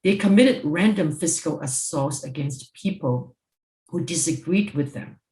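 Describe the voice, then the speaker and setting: A woman's voice: sits low, darkish tone. Formal woman speaker in an echoey room. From Hong Kong. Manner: formal, deliberate, emphatic. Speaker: panel speaker, former judge. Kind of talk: scripted speech. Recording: roomy, echoing sound.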